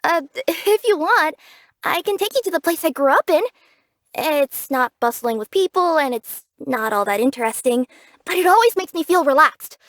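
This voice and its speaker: A woman's voice: high-pitched, animated, moderate pace, a bit bright, dry recording. A woman voicing a cheerful, curious young female cat yokai who works as a package courier in a video game, earnest and bubbly in manner. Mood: warm, gentle. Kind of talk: English video game character voice acting.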